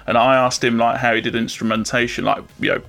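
A man's voice: medium pitch, flat delivery, fast talker, creaky, a bit bright, faint music behind. A man speaking as a guest musician in casual chat, rambling and informal in a colloquial way.

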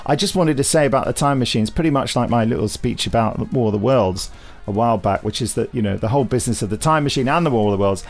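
A man's voice: medium pitch, fast talker, hardly any pauses, soft background music. A man hosting a podcast, moving between scripted announcements and playful, enthusiastic chat. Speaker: podcast host.

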